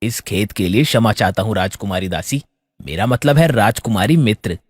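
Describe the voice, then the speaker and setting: A man's voice: lowish voice, animated, normal speed, no echo, clean studio sound, in a large hall. A man playing a young, courteous, slightly flustered prince in a fairy tale, polite and hesitant. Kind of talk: Hindi fairy tale audio acting.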